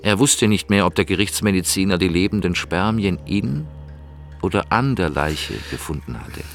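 A man's voice: sits low, expressive, normal speed, soft background music. A man reading scripted narration as the narrator of a German crime radio drama.

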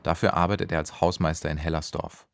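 Deep-voiced male documentary narrator. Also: flat delivery, gravelly, clean tone. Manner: measured, matter-of-fact. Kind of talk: scripted narration.

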